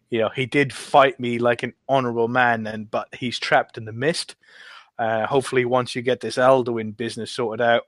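A man chatting casually on a podcast panel, recounting gameplay in a rambling, halting way. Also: mid-range voice, a bit bright, dry recording.

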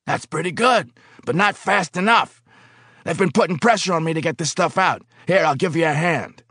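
A man voicing a gruff, hot-tempered middle-aged paint-plant foreman who yells and blusters in exasperation, in an audiobook reading. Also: a little high, normal speed, no echo, clean studio sound, voice pushed up. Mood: pressed.

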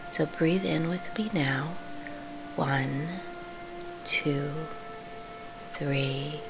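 A woman with a breathy, often whispery voice gently guides a sleep meditation, hushed and unhurried, with reassuring instructions. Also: deep voice, rough recording. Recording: clearly audible background hum.

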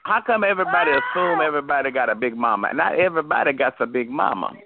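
A drawling man with a slightly high voice, teasing his co-hosts on a morning radio show and calling everyone honey. The sound is squeezed thin like a phone line. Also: animated, fast, gravelly, background noise. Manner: drawling, calls people honey and baby. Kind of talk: comic radio banter. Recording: narrow phone-like radio broadcast.

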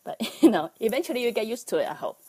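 A woman from Taiwan with a Taiwanese accent, talking casually on a livestream, halting with many ums and nervous laughs. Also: fairly high pitch, very animated, darkish tone, a little hiss or hum, poor audio. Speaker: livestream host, life coach.

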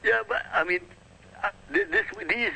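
A man's voice: very high-pitched, huge pitch swings, moderate pace, gravelly, pushed and bright, slightly rough, rough recording. A man whose first language is Arabic, arguing insistently on a radio talk show and lecturing with emphatic repetition. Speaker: guest.

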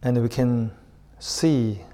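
A man leading a guided meditation as a teacher, speaking in short, unhurried phrases with long pauses between them. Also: medium pitch, mellow. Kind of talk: slow guided meditation with spoken reflections.

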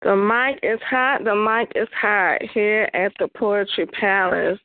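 A woman's voice: medium pitch, steady tone, a little slow, dry recording, phone-quality sound. A woman hosting a call-in poetry radio show, upbeat and hyping up the callers.

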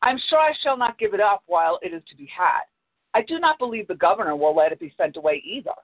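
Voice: medium pitch, big pitch swings, fast talker, no room sound. A reader voicing a fretful girl fond of tea in a plain read-aloud voice, over a tinny phone-like line.

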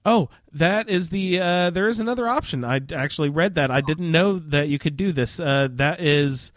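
A man's voice: a little high, moderate pace, fairly bright tone, no echo, studio quality. A man hosting a podcast, chatting casually in a roundtable about a video game. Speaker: podcast host.

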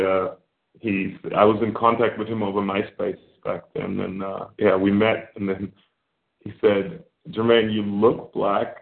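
A man from Germany, a filmmaker guest, talking reflectively in a casual interview with many pauses and fillers. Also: fairly low pitch, gravelly. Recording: phone-like echoey remote call.

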